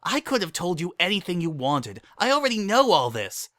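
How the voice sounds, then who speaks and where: An exasperated young noble unicorn mare, acted by a man, defiant and outspoken. Her storybook voice is high, bright and indignant, recorded dry. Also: wildly swooping pitch, brisk, dry recording, heavily acted. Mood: indignant, passionate.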